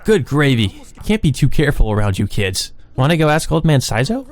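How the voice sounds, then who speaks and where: A man's voice: mid-range voice, very animated, a little fast, voice pushed up, lightly acted. A man voicing a grumpy, blunt village man in a visual novel. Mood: indignant. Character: grumpy, resentful village man guarding his lunch.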